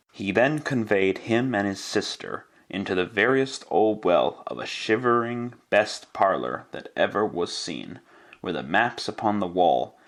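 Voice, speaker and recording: Guy narrating an old timey radio story, lowish voice, measured and theatrical. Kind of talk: scripted storytelling narration in classic radio style.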